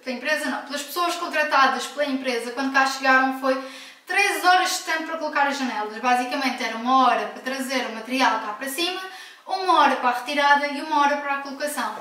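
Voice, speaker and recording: High bright-voiced Portuguese woman vlogging. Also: fast. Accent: European Portuguese. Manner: frank, emphatic, with self-corrections. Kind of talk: casual home vlog talk. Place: room at home. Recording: echoey room, mic at a distance.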